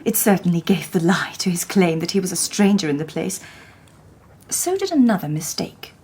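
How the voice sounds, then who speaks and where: An actress playing a shrewd, composed Victorian woman detective who narrates the story, with soft, measured diction. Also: lowish voice, sing-song, slightly rough, full character voice. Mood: calm. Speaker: lady detective narrating and speaking. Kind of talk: radio drama narration and dialogue.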